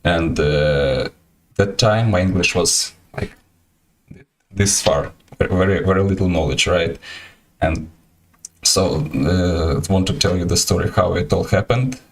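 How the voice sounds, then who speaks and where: Ukrainian-accented male host, very low voice, halting casual livestream chat. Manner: halting, many fillers and restarts.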